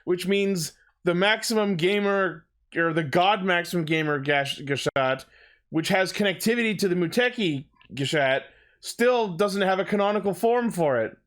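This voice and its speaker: A man's voice: sits high, expressive, moderate pace, a bit bright. A man co-hosting a podcast, chatting casually about fandom news and swearing freely. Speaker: podcast co-host.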